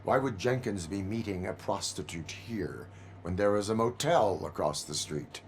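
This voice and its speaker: A man playing a stoic cyborg policeman in a video game, speaking in a formal, clipped, deadpan way. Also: deep voice, normal speed, a little hiss or hum. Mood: stern. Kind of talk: video game cutscene acting.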